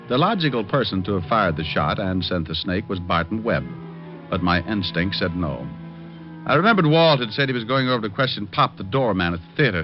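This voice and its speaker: Hardboiled male detective, played by an actor, old radio drama: quick, clipped, animated, music underneath. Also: fairly low pitch, natural voice. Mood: hardboiled, brooding. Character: brisk, wry male private detective, tough and sure. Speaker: lead actor and narrator. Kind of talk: old-time radio crime drama acting, first-person narration. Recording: dull old radio recording, music behind.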